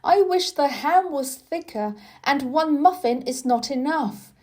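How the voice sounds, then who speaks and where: A woman voicing a spirited, demanding young boy in a children's storybook, with emphatic, deliberate delivery. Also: sits high, expressive, a little mellow, voice pushed up. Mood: hungry, plaintive.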